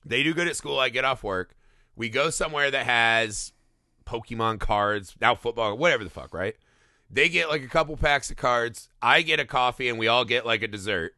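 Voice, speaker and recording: Bright-voiced man ranting casually about things. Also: medium pitch, moderate pace, no room sound, studio quality. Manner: profane, animated ranting with mock quotes. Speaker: podcast co-host. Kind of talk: casual comedic movie discussion.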